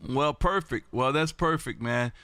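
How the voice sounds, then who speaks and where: A loud, exuberant man chatting as an amateur radio livestream host, emphatic and prone to repeating phrases. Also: mid-range voice, measured pace, clean tone, no room sound. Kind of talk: ham radio contact chat. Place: indoor home radio room.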